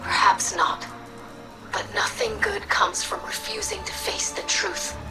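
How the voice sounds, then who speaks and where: A woman voicing an earnest, dutiful young alien scribe in a video game, with formal, measured diction and wounded idealism. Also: very high-pitched, huge pitch swings, creaky, music in the background, full character voice, rough recording. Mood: pleading, confrontational. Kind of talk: dramatic video game cutscene acting.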